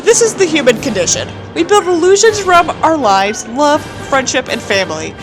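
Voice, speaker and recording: Woman acting out a pompous, bitter teenage boy, high-voiced. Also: big pitch swings, moderate pace, music in the background. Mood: smug, detached. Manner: pompous, theatrical, contemptuous. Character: bitter, self-important nihilistic teenage boy writing a journal. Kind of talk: dramatic reading of a journal.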